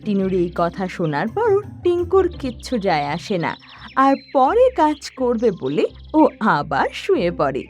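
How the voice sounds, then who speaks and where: A woman's voice: mid-range voice, wildly swooping pitch, unhurried, a little mellow, faint music behind. A woman narrating a scripted children's cartoon story as a storyteller.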